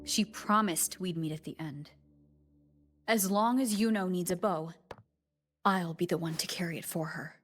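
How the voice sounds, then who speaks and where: An actress playing a loyal, resolute hero in a video game, speaking earnestly and firmly. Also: female voice, lowish voice, normal speed, pauses often, a bit bright, natural voice. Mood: defiant, loyal. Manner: earnest, firm. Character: loyal, resolute hero devoted to her friend. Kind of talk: dramatic game dialogue. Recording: music behind the voice.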